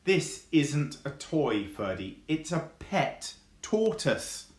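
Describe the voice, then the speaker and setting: Guy playing a stern hero dog from a kids book, firm, lively pitch, roomy. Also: slow. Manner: firm, scolding. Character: protective, stern heroic dog, male, storybook.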